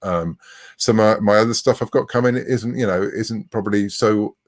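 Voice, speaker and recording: A man's voice: lowish voice, normal speed. A man in his forties speaking conversationally as a writer being interviewed. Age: 40s. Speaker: interviewed writer of comics and novels.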